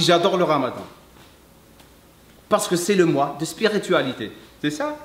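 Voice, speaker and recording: A man, a French speaker, talking earnestly to camera as a fitness coach, emphatic and halting, slipping between French and Arabic. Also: a little high, fairly bright tone. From Algeria. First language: English. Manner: emphatic, halting non-native French with repeats. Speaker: fitness coach and video host. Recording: echoey room.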